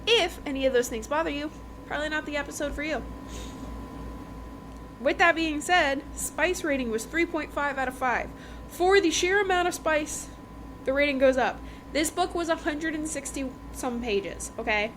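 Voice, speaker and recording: Higher-voiced chatty woman, noisy recording. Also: animated, moderate pace. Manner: informal, emphatic, repeats phrases, checks in with "okay?" and "right?". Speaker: podcast host reviewing books. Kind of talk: casual chatty book review.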